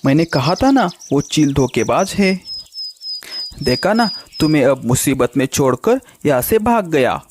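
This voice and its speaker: Man as a high-voiced, advising cartoon crow. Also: sing-song, a little slow, a little hiss or hum. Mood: reproachful. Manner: instructive, repeats phrases. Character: wise loyal male crow friend, chiding and plotting. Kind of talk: children's cartoon story acting.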